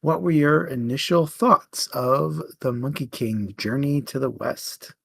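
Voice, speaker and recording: A man's voice: medium pitch, a little slow, creaky, breathy edge. A man co-hosting a casual live book show, explaining things in an informal, chatty way. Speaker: co-host of a book discussion show.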